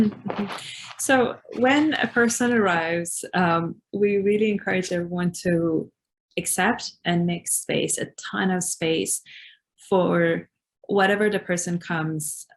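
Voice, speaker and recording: Woman, Farsi first language, low gravelly voice, earnest webinar presenter with many fillers. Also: a little slow. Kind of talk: webinar talk.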